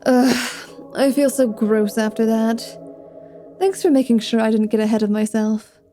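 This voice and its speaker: A woman voicing a flirtatious, mischievous vampire paladin in an ASMR roleplay, with a teasing, drawn-out delivery. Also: a little high, dark tone, faint music behind, lightly acted. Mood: playful, smug. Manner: slow, teasing, sultry delivery with drawn-out words.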